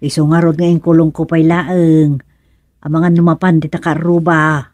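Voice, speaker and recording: A woman's voice: low voice, level tone, moderate pace, a little mellow, no echo. A woman with an Ilocano accent playing a frank, knowing middle-aged village wife and mother in a radio comedy-drama. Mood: matter-of-fact. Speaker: radio serial actor.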